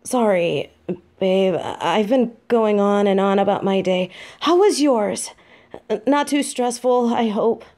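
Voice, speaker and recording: A woman's voice: mid-range voice, slow pace, a little mellow, lightly acted. A woman playing a sweet weary demon girlfriend. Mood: affectionate. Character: sweet tired female demon girlfriend, affectionate. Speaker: voice actor in an audio roleplay. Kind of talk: intimate audio roleplay.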